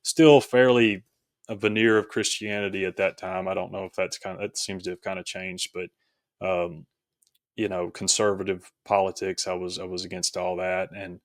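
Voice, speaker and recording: A man from rural Tennessee speaking as an interview guest, explaining things reflectively in a halting, conversational way. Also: low-pitched, level tone, dry recording, studio quality. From rural Tennessee, United States. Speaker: podcast guest, author.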